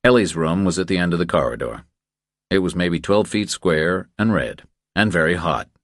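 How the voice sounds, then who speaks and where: A man with an extremely low voice narrates a thriller audiobook. The sound is close and dry, as scripted storytelling. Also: expressive, normal speed, a bit bright, no echo.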